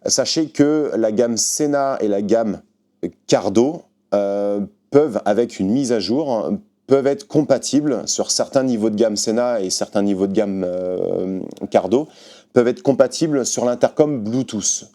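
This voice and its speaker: A man's voice: lowish voice, a little slow, mellow. A man casually explaining a product to viewers in an informal, hands-on way, as a shop presenter.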